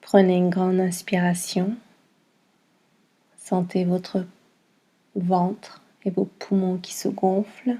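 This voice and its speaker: A woman teaching yoga nidra, guiding a meditation in a calm, soothing manner with long pauses between short instructions. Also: fairly low pitch. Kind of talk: guided meditation, soft instruction.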